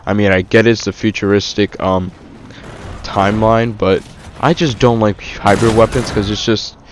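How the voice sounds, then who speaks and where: A man's voice: on the low side, steady tone, normal speed, a little hiss or hum. A young man casually reviewing a shooter game over gameplay, rambling with many fillers and restarts. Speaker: gaming YouTuber.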